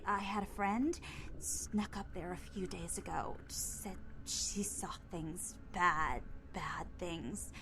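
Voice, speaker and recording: A woman's voice: on the low side, takes it slowly, music in the background. An actress playing a frightened, confused young female cult recruit in a video game, stammering and hesitant, trailing off into whispers. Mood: fearful. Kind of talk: video game dialogue acting.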